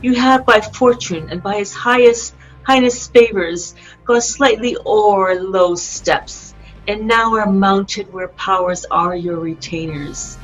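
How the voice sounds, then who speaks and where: A woman playing a proud, wronged queen defying her judges in an amateur Shakespeare reading, with deliberate verse delivery. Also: mid-range voice, lightly acted. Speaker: amateur actor in an online play reading. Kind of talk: amateur Shakespeare read-aloud. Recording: dull online call with music.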